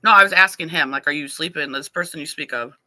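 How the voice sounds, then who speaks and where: A woman's voice: deep voice, quick pace, bright, forceful tone, no echo. A woman in her thirties hosting a live talk panel and asking candid, probing questions. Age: 30s. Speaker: host.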